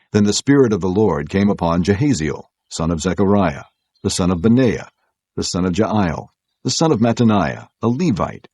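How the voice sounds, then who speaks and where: A man narrating an audio Bible as scripted storytelling, in a measured, solemn way. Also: low voice, mellow, slightly rough, dry recording.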